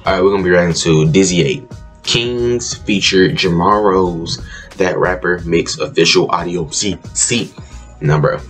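A man's voice: deep voice, a little slow, faint music behind. A young man hosting a reaction video from his bedroom, laid-back and chuckling, with a groggy just-woke-up morning voice. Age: young adult. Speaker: reaction channel host. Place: bedroom, indoors.

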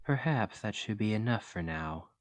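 A man playing a gentle, curious fantasy archaeologist in an ASMR roleplay, speaking in a formal, musing, articulate way. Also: lowish voice, expressive, normal speed, a little hiss or hum, close to an everyday voice. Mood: kindly, earnest.

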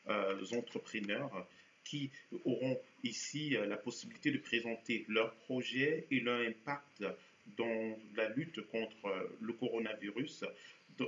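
A middle-aged man presents a talk show from a studio, pausing often and restarting his sentences. His voice sits in a roomy space. The sound is dull, with a faint hiss behind it. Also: medium pitch, fairly bright tone. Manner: frequent hesitations and restarts. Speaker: TV show host. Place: TV studio set with virtual backdrop.

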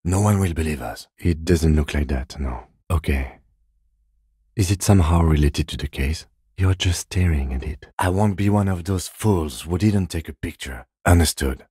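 A man playing a calm, composed middle-aged police detective in a video game, speaking in a measured, restrained and precise way. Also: a bass voice, husky, no room sound, studio quality, exaggerated voice. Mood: tense, hushed focus. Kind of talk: video game voice acting, understated dialogue.

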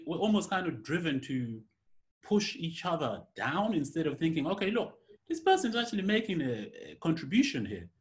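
A young man hosting a casual video-call interview, talking in a reflective, loose way with many "you know"s, from a room at home. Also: on the high side. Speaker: interviewer, host. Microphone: laptop webcam on a video call.

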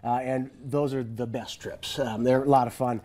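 Mellow-voiced man, relaxed chatty guest. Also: mid-range voice, normal speed. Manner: relaxed and chatty, many "uh"s and restarts, chuckles often. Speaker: fishing guide and business owner. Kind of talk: casual TV studio interview. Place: TV studio set. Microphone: lapel mic.

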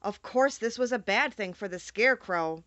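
A woman's voice: mid-range voice, expressive, normal speed, fairly bright tone, no echo, dull audio. A woman reading a children's storybook aloud as an audiobook narrator. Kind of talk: expressive scripted storybook narration.

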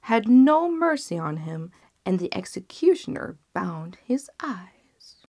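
A woman's voice: medium pitch, very animated, slow pace, a little mellow, full character voice. A woman voicing an old male fisherman who retells a story with emphasis. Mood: pointed.

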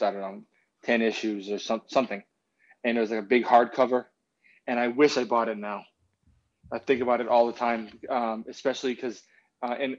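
A man in his thirties chatting casually in a rambling, sweary way over a video call from home. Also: mid-range voice. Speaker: podcast co-host. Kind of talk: casual chat. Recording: slightly dull lo-fi call audio.

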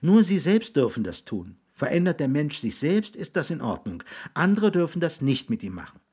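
Storybook young boy, played by a male reader: high, slightly husky, solemn, patiently explaining. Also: sing-song, moderate pace, exaggerated voice. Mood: earnest, patient. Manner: patient, gently insistent explaining. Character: earnest young boys, patient and solemn brothers.

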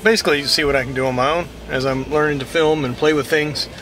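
A man's voice: a little high, quick pace, slight background noise. A young man with a Texan accent talking casually to a dashboard camera from inside his pickup truck, rambling with lots of fillers. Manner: relaxed, rambling, many fillers. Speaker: vlogger. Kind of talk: casual vlog talk to camera. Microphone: camera mounted on the dashboard.

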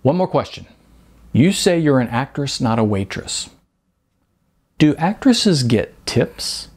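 A man voicing a loud, obnoxious male customer in a storybook reading, smug and needling. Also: medium pitch, sing-song, slow pace, voice pushed up, full character voice. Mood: mocking. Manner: mocking, needling.

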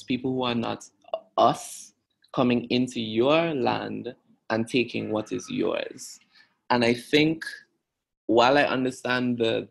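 A young man with an Antiguan accent answering interview questions in a measured, reflective way. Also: medium pitch, gravelly. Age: young adult. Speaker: podcast guest. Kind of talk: thoughtful interview talk.

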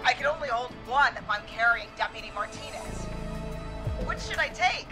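A woman's voice: fairly high pitch, animated, normal speed, music in the background, lightly acted. A woman acting a resolute but frightened police dispatcher in a video game, urgent and hesitant under stress. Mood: hushed, resolute.

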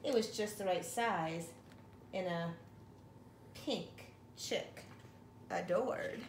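Slow-paced woman reading to children, roomy sound. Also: lowish voice. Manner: expressive, addressing children with questions. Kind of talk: read-aloud picture book narration for young children. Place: living room at home, indoors. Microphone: camera or phone a short distance away. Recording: roomy home recording with faint noise.

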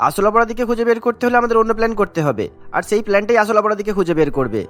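A man's voice: high-pitched, expressive, very fast, faint music behind. A man playing an earnest, determined young police inspector in a cartoon, speaking in an eager, emphatic way. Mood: brooding, determined. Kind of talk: cartoon voice acting.

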